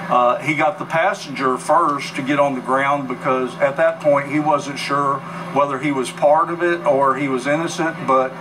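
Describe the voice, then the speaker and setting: A man in his sixties with an American accent, recounting events as an interview guest in a sober, halting, matter-of-fact way. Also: medium pitch, fairly even intonation. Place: news bureau studio, replayed on a TV in a room. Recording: distant TV filmed off screen, clear noise.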